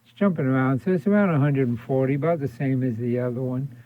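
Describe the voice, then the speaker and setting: A man's voice: medium pitch, quick pace. A man giving a casual hands-on tutorial, thinking aloud and repeating his points as he explains. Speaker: electronics repair instructor. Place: indoor electronics workbench. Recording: thin phone-like band-limited sound.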